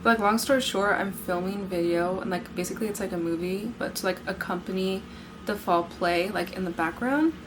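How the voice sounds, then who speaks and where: A young woman in her late teens hosting a casual podcast, hoarse from yelling, chatting in a bubbly way and filling nearly every sentence with "like". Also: medium pitch, a little fast, noisy background. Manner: bubbly, filler-heavy, says "like" constantly. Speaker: podcast host. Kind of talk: casual chat.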